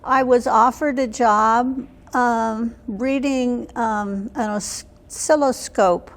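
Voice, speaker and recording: An older woman, in her seventies or beyond, recalling her life as an interview guest in a plain, halting way. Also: fairly high pitch, steady tone. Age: 70s or older. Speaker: interview guest, military veteran. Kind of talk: reminiscing in an unscripted interview. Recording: long hall reverb.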